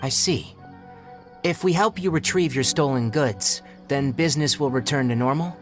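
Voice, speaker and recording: A man's voice: mid-range voice, normal speed, natural voice. A man playing a curt, serious young forest watcher in a video game, blunt and businesslike. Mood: questioning, skeptical. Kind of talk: video game character dialogue. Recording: game music under the voice.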